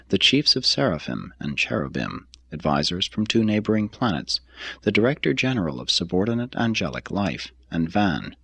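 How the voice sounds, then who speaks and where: Very deep, solemn male audiobook narrator. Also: gravelly, fairly bright tone, slightly rough, no room sound. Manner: measured, solemn, formal delivery. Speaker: reader. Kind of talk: scripted audiobook narration of a religious text.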